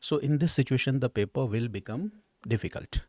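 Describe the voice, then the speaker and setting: A man's voice: medium pitch, a little fast, no room sound, clean studio sound. A man with an Indian accent explaining exam questions like a teacher, often checking in with "okay" and "right". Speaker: history teacher at exam coaching institute.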